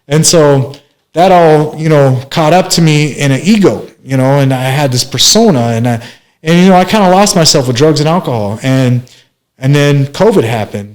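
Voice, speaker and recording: A man in his forties from Minneapolis, telling his life story as an interview guest in a rambling way, with many fillers and restarts. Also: medium pitch. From Minneapolis, USA. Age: 40s. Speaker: guest, master barber.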